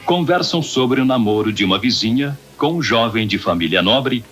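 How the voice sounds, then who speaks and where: A man's voice: medium pitch, expressive, moderate pace, fairly bright tone, close to an everyday voice. A man narrating an old-time radio drama from a script, solemn and theatrical. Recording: radio narration over music bed.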